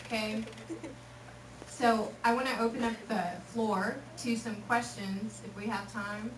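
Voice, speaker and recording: A woman from Texas giving a lively, joking talk to a youth group, often acting out voices. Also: on the high side, measured pace. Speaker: youth speaker. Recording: echoey hall, picked up from far off, noisy.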